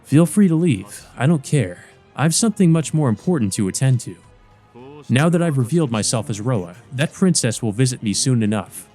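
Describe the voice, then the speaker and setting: A man's voice: medium pitch, big pitch swings, normal speed, warm tone, soft background music. A man playing a cold, scholarly male vampire villain in a visual novel, speaking formally and with disdain. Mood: haughty.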